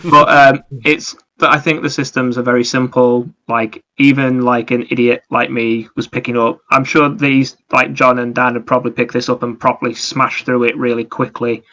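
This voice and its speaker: Male, gaming podcast co-host. Bright, sharp tone, little pitch movement, rambling explanations. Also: level tone, normal speed, hardly any pauses, poor audio. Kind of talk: casual gaming discussion.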